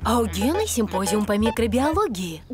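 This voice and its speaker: A woman voicing a gentle, caring dog family member in an animated series. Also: medium pitch, moderate pace, close to an everyday voice. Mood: reassuring. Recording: loud music over the dialogue.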